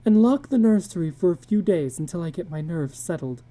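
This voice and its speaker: A woman's voice: fairly low pitch, expressive, fairly quick, warm tone, voice pushed up. A woman voicing a frightened, pleading housewife in a dramatic story reading, anxious and insistent.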